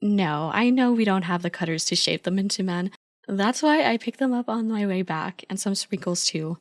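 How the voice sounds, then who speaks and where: A woman's voice: medium pitch, expressive, moderate pace, no room sound, studio quality, natural voice. A woman playing an affectionate, playful girlfriend in an ASMR roleplay, chatty, teasing and supportive as an eager but clumsy baker. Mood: fond, mildly defensive.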